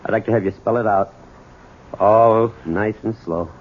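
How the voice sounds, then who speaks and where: An actor playing a bitter, weary gas station owner with a gruff, curt, colloquial manner in a 1970s radio drama. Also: male voice, fairly low pitch, moderate pace, lightly acted. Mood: angry, confrontational. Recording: tinny, noisy old radio broadcast recording.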